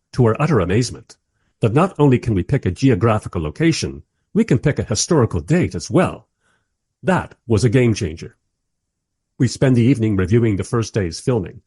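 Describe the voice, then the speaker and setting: Male actor as weary filmmaker narrator, radio drama. Husky, wry, animated intonation, very dry recording. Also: mid-range voice, no room sound. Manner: dry, sardonic storytelling. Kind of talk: radio play first-person narration.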